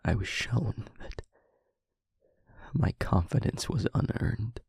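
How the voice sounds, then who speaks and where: A man playing a guilt-ridden demon lover in an intimate audio roleplay, speaking in a halting, breathy, confessional hush. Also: fairly low pitch, animated, no room sound, studio quality, exaggerated voice. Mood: remorseful, haunted. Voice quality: breathy, husky, whispery.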